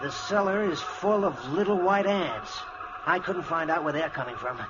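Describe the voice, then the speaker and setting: An actor playing a professor's blunt, ominous assistant in old-time radio drama, plain-spoken and insistent. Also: male voice, a little high, animated, normal speed, lightly acted. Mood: matter-of-fact alarm. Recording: muffled, noisy vintage radio recording.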